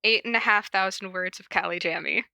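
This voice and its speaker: Bright-voiced woman podcaster, playfully teasing. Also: medium pitch, fairly quick, vocal fry, no echo. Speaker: podcast co-host and fan fiction reader. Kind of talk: casual chat with reading aloud.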